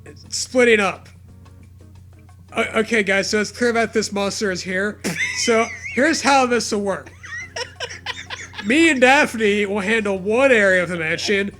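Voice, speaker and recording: A high-voiced man with a very sharp, bright tone reads a story aloud and mocks it as he goes. He is profane, excitable and sarcastic, over background music. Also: a little slow, long pauses. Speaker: co-host reading fan fiction aloud. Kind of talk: comedic read-aloud with riffing.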